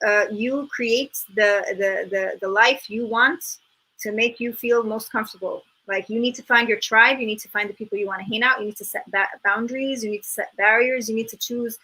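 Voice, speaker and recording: Bright-voiced woman from Egypt, reflective. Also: on the high side, fairly even intonation, a little fast, breathy edge, rough recording. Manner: reflective, many restarts and "like" fillers. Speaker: guest filmmaker. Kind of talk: casual interview talk.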